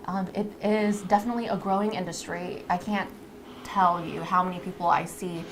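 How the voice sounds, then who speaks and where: A woman's voice: on the low side, steady tone, moderate pace. Chatty woman presenting over background noise. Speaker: live stream co-host introducing a lash artist. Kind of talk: casual presenting to a live audience.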